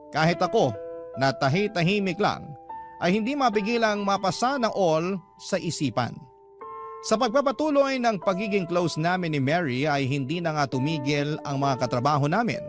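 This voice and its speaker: A man's voice: a little high, natural voice. An actor playing a smitten office worker, narrating his love story earnestly and sentimentally in a Tagalog radio drama. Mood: earnest, wistful. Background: acoustic guitar music.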